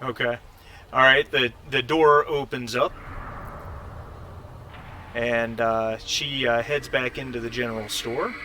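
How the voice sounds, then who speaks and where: A man narrating a tabletop role-playing game as game master, halting and conversational as he searches for words. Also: medium pitch, fairly even intonation, pushed and bright, slight background noise.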